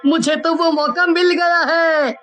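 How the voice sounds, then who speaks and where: Woman doing a boastful cartoon townsman, high voice, takes it slow, bit of music behind. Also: steady tone, fairly bright tone, full character voice. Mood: boastful, excited. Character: cartoon townsmen, boastful, easily excited family men. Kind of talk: cartoon story dialogue.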